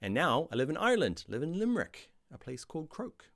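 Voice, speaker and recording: A middle-aged man with a New Zealand accent, answering viewer questions in a casual live stream about design software. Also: a little high, fairly quick, gravelly. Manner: chatty, reads viewer questions aloud, animated asides. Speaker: online design course instructor.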